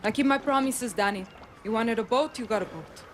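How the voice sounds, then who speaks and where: An actress playing a determined, persuasive guerrilla leader with a Latin American Spanish accent in a video game. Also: female voice, fairly high pitch, a little fast, slight background noise, lightly acted. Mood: earnest, firm. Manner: earnest, persuasive. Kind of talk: cinematic video game acting.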